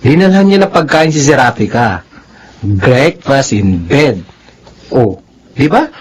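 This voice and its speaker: A man's voice: mid-range voice, big pitch swings, slow pace, a little hiss or hum. A man acting a catty, mocking young gossip in a Filipino radio drama, with a teasing, swooping delivery.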